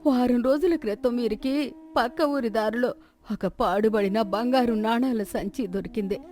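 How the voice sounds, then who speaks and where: A woman's voice: a little high, normal speed, darkish tone, faint music behind, close to an everyday voice. A woman voicing a distressed village wife in a moral story, pleading and complaining about her troubles. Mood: tearful pleading.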